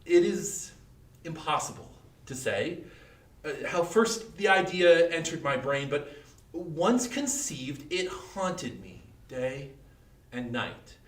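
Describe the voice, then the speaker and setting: In an echoey room, away from the mic, a man acts out an unhinged Victorian horror murderer. His slightly high voice swings widely as he stammers and presses nervously to prove his sanity. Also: lively intonation. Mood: controlled, confiding. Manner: halting, stammering, emphatic. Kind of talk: dramatic reading of Victorian horror. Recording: echoey room, distant microphone.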